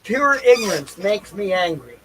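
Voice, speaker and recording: A man with a somewhat high-pitched voice talks off the cuff as a livestream host. He rambles loosely, half to himself, and circles back over his own phrases. Noticeable noise runs behind him throughout. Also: big pitch swings, a little slow, pushed and bright, low-quality recording. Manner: repeats phrases for emphasis. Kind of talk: rambling unscripted livestream talk.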